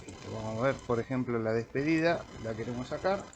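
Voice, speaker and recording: A man with an Argentine Spanish accent giving an informal software tutorial, thinking aloud as he goes. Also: medium pitch, fairly quick. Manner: informal, thinking aloud while clicking through. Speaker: amateur radio operator demonstrating software. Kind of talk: casual screen-recorded software tutorial.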